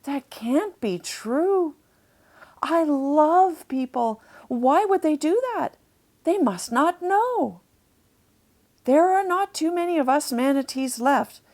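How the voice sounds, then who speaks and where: Storybook manatee played by a woman: gentle, sorrowful, earnest, high-pitched and very unhurried. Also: lively intonation, exaggerated voice. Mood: dismayed, earnest. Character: gentle, sad, itchy male manatee, earnest and hopeful.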